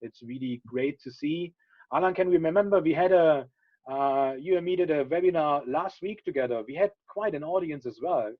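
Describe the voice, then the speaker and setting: A man's voice: a little high, moderate pace. A middle-aged man from Germany hosting a webinar over a video call, chatty and friendly with many fillers. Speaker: webinar host. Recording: dull lo-fi video call audio.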